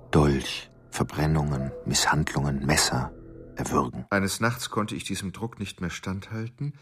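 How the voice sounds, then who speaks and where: An actor playing an obsessive, tormented middle-aged murderer, confessing in a volatile radio drama monologue broken by chanted single words. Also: male voice, rumbling low, creaky, a bit bright, exaggerated voice.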